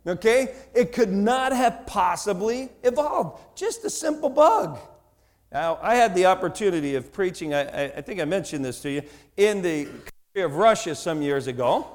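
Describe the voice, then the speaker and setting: A high-pitched man with a New Jersey accent preaches with a voice that leaps up and down. He tells his stories animatedly, acting out every side of the dialogue and often repeating his words as he goes. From United States. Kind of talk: sermon with storytelling.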